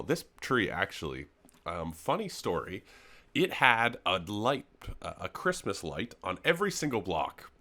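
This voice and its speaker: A young man with a cold, his voice gravelly and nasal, giving an enthusiastic, rambling game walkthrough full of ums. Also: deep voice, pushed and bright. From Canada. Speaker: gaming YouTuber giving a server tour. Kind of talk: casual gameplay commentary.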